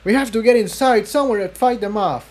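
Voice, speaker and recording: Determined storybook stallion, acted by a male reader, pitched up, tense and pressing. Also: fast talker, darkish tone. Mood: urgent. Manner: urgent, raised voice. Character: determined male pony hero, tense and urgent.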